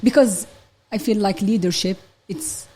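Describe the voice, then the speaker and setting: A woman's voice: mid-range voice, unhurried, warm tone, husky. A woman from Morocco, a nail salon owner, talking as a guest in a casual interview and telling stories loosely with many fillers.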